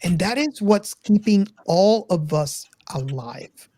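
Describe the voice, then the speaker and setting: A woman hosting a casual discussion podcast, talking to the audience deliberately and repeating phrases for emphasis. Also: lowish voice, expressive, mellow, no echo. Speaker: podcast host. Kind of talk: casual discussion addressed to listeners.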